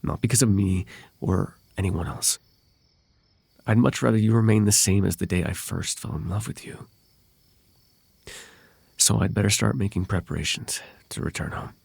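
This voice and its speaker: Male actor as possessive fae prince, low husky voice, halting tender pleading, formal wording, intimate ASMR roleplay. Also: darkish tone, no room sound. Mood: wistful, devoted. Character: possessive, lovelorn fae royal heir, male, pleading and anxious.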